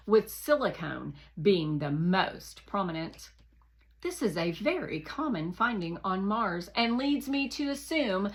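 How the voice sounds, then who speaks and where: A woman narrating a children's novel aloud in an expressive read-aloud style, with deliberate emphasis for young listeners. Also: mid-range voice.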